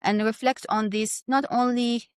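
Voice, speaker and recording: A woman's voice: a little high, fairly even intonation, unhurried, clear, resonant tone, no echo, studio quality. A woman from Iraq, speaking with an Iraqi accent tinged with Syrian, co-hosting a podcast in a thoughtful way with long, listing sentences. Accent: Iraqi Arabic, mixed with Syrian. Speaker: podcast co-host, aid worker. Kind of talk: earnest podcast discussion.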